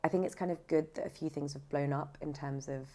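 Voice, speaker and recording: A woman's voice: low voice, steady tone, fairly quick, warm tone. A woman chatting thoughtfully as an interview guest, explaining her views in a conversational way. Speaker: podcast guest, audiobook narrator and voice actor.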